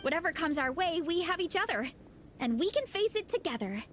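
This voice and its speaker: A woman's voice: extremely high pitch, big pitch swings, brisk, soft background music. A woman voicing an enthusiastic, friendly young comic-fan club captain in a video game, chatting casually. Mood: excited, welcoming.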